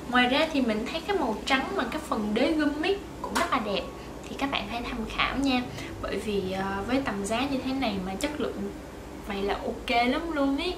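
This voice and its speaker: A woman's voice: on the high side, lively intonation, a little fast, reverberant, noisy background. A young woman vlogging to the camera in a small bedroom, chatting in a friendly way with many fillers. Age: young adult. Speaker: vlogger, shoe painter. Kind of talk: casual vlog talk to camera.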